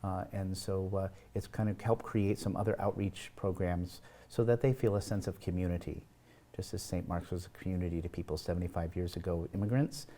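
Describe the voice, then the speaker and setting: A man's voice: deep voice, steady tone, a little fast, dark tone. A man giving explanatory, somewhat hesitant interview answers as a church representative.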